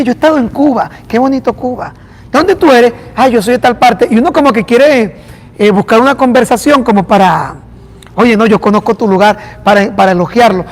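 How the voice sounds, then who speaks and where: A man with a Venezuelan accent, a pastor preaching an animated sermon and acting out dialogue. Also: very high voice. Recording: long hall reverb.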